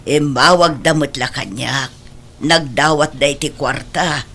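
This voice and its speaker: A woman acting a self-sacrificing, middle-aged mother in a radio drama. She has a lowish voice and speaks earnestly to her grown children, firm yet caring as she admonishes them. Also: a little slow, fairly bright tone, a little hiss or hum. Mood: gently explaining.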